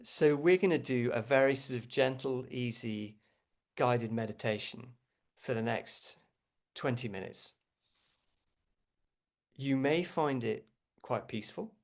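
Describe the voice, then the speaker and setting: A man leading a guided meditation as a teacher, speaking gently and without hurry, with frequent pauses. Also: medium pitch.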